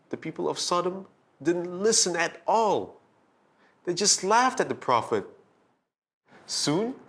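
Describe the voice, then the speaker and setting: A man hosting and narrating a scripted bedtime story for children in a dramatic, deliberate storytelling manner. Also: sits high, a bit bright.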